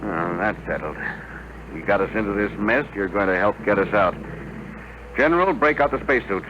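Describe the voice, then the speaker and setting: An actor playing a stern, authoritative spaceship commander giving brisk orders in a 1950s radio drama. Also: male voice, lowish voice, expressive, natural voice. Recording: dull, noisy vintage radio broadcast.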